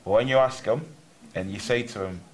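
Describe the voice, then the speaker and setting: A young man from Germany hosts a casual church interview, his voice sitting a little low. He wanders through his questions, circling and restarting before he gets to the point. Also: brisk, rough recording. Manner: rambling, restarts questions often.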